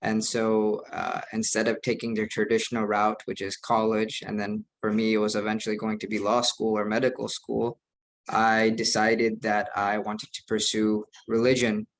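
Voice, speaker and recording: Earnest young imam, flat even delivery. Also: male voice, fairly low pitch, normal speed, poor audio. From United States. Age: young adult. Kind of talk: online presentation.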